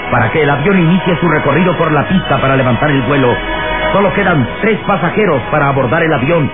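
A man narrating a radio serial in a solemn, theatrical style with drawn-out phrasing. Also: a little high, expressive, narrow, tinny audio. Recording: thin vintage radio sound, loud music.

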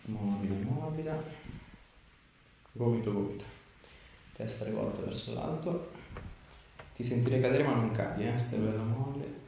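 A man's voice: mid-range voice, moderate pace, creaky, long pauses, mellow. A man in his thirties, a chiropractor, giving a patient short, reassuring instructions during treatment. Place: small treatment room, indoors. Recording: very roomy, distant mic, faint noise.

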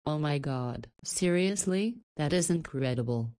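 A woman acts out the motherly head of a halfway house in a visual novel. Her voice is notably low. She chats and teases with a playful, scolding manner. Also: animated, unhurried, darkish tone. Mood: curious, chiding.

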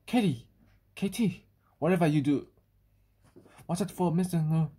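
A scolding mother from a picture book, read by a woman. She sounds firm and distrustful, in a lowish voice with a husky grain. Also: unhurried, dark tone, exaggerated voice. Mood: warning. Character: wary, scolding mother in a children's story.

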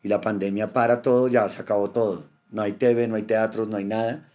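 Low-voiced playful man, casual podcast. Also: a little fast. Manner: playful, animated, joking. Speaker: actor, rapper, podcast guest. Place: indoor studio with yellow backdrop. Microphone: studio mic on boom arm.

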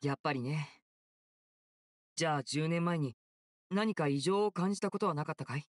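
A man playing a polite, gentle, self-possessed young stage magician in an anime game, courteous and reassuring. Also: on the high side, normal speed, no room sound, studio quality, natural voice. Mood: earnest, persuasive.

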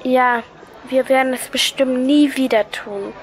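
A woman acts a polite but cunning schoolgirl in a children's radio play. She speaks slowly in a fairly high voice, hesitant and persuasive. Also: fairly even intonation. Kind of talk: children's audio drama acting.

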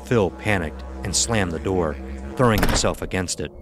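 A man's voice: low voice, moderate pace. A man reading a scripted horror story as its narrator. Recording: music behind the voice. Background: music.

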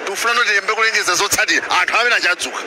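The Malawian man you would hear on a late-night live TV link outdoors, talking fast over clear background noise. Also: high voice. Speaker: television reporter. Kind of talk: live unscripted commentary with colleagues. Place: outdoors at night outside a convention centre, among a crowd. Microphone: handheld microphone. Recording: noisy outdoor live broadcast.

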